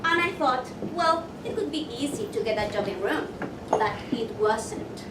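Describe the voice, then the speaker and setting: A woman's voice: on the high side, lively intonation. A woman from Ecuador, presenting a motivational workshop and asking her audience questions. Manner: slow and deliberate, asks the audience questions. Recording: echoey room, mic far off, noisy.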